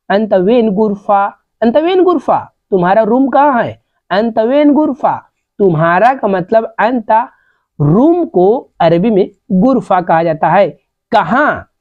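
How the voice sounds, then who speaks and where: Slow, lively-pitched woman teaching phrases. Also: dry recording. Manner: repeats each phrase several times, slowly and deliberately. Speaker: Arabic language teacher. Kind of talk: slow repetitive vocabulary lesson. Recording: dull, lo-fi sound.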